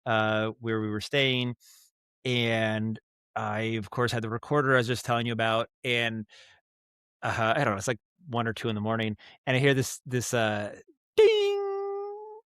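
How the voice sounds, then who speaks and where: A man speaking as a guest in a casual interview, telling stories in an animated, halting way with asides and vocal sound imitations. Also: mid-range voice, clear, resonant tone, no room sound, studio quality. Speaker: guest, audio drama writer and director. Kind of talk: casual podcast interview, storytelling.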